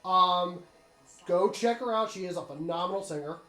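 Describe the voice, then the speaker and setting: A man's voice: sits high, normal speed, pushed and bright. A man gives casual commentary as host of a game playthrough. He speaks straight to the viewers in an explanatory way. His voice carries a roomy echo, with some music behind it. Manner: explanatory, addresses viewers directly.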